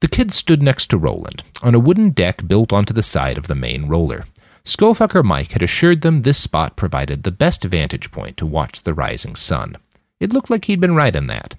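A man's voice: sits low, wildly swooping pitch, moderate pace, no room sound, studio quality. A man narrating a scripted novel as an audiobook reader.

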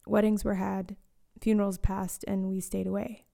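Late-twenties woman, mellow and lightly gravelly, reading a reflective first-person story with quiet, lyrical pacing. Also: medium pitch, level tone. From born in Eswatini to Zambian parents, raised in South Africa, Australia and Canada. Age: late 20s. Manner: slow, reflective, lyrical reading with soft hushed lines. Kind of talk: scripted personal narration.

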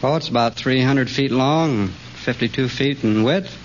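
A male quiz contestant on old-time radio, giving polite, plain answers with some hesitation. His mid-pitched voice comes through a dull recording thick with hiss and noise. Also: measured pace. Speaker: contestant, ship's purser.

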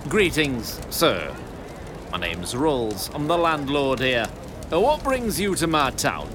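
An actor playing a cordial, blustery small-town mayor with a folksy, pompous manner in an audio drama. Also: male voice, mid-range voice, big pitch swings, slow pace, noisy background.

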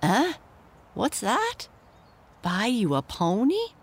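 A woman's voice: low-pitched, big pitch swings, takes it slowly, breathy edge, full character voice. A woman doing a teasing rich father. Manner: teasing, gently doubtful. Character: rich father, half teasing, good-natured.

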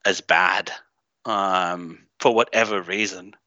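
A lowish, bright and forceful male voice from Greece, answering questions bluntly with the odd swear word. He stumbles and restarts often. The sound is dry and a bit muffled. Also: steady tone, slow, no room sound. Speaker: podcast guest. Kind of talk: casual interview talk. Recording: slightly muffled dry recording.

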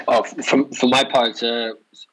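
A man's voice: medium pitch, flat delivery, moderate pace, fairly bright tone, rough recording. A man with an American accent, chatting casually as an interview guest. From United States. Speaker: interview guest, bike shop owner. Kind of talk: casual chat.

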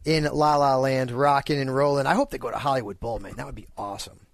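Man, sports radio co-host, joking, animated, dry close mic. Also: medium pitch, quick pace, creaky, dry recording.

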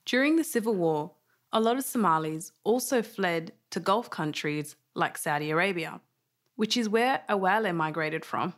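Low-voiced woman narrating a documentary. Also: animated, moderate pace. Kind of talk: scripted narration.

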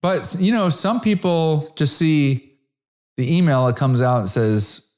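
Podcast host, male, early fifties, average pitch, casual and conversational interviewing. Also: measured pace, a little mellow.

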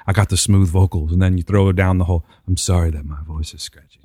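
A man's voice: low voice, fairly even intonation, quick pace, mellow, dry recording, studio quality. A man co-hosting a podcast in casual banter, with a playful, teasing, joking way of talking. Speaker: podcast co-host.

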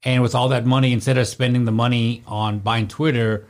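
A man's voice: medium pitch, flat delivery, fairly quick. Rambling male podcast host. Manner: chatty, rambling with many fillers. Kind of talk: casual podcast chat.

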